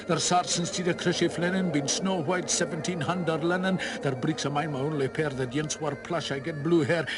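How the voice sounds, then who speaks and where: Theatrical man reciting over loud music. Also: fairly high pitch. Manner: theatrical, with big swings from hushed to roaring. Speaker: poetry reciter. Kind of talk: dramatic recitation of a narrative poem.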